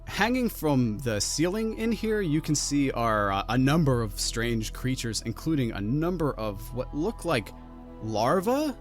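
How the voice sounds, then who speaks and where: A man narrating a tabletop role-playing game as game master, describing scenes in a relaxed, vivid way. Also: mid-range voice, a little slow, faint music behind.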